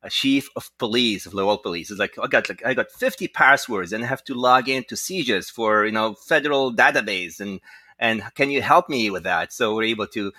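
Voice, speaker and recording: A man with a low-pitched, bright voice talks as an interview guest. He explains his work conversationally, circling through halting asides. The sound is very dry. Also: no room sound. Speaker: guest, tech entrepreneur and inventor.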